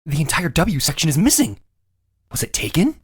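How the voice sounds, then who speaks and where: Man as an earnest young lawyer, animated pitch. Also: a little fast, husky, faint music behind, full character voice. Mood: startled discovery. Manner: musing aloud, then pointed and accusatory. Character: earnest, dogged young male defense lawyer. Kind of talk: voiced video game drama.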